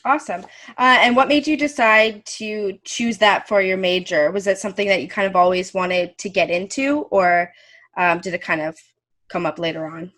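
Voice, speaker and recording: A woman's voice: medium pitch, normal speed, fairly bright tone. A woman hosting an interview, asking questions in a casual, friendly way with frequent ums. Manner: friendly, with fillers and laughs. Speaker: host.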